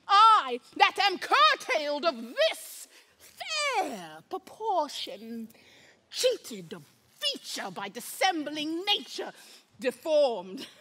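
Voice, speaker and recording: Shakespearean villain, scheming and embittered, high bright forceful voice, theatrical delivery. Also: female voice, big pitch swings, heavily acted. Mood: bitter, aggrieved. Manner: declamatory, deliberate, with sudden drops to hushed menace. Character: embittered, scheming deformed royal villain, a man, brooding and mocking. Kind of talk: theatrical Shakespearean stage monologue.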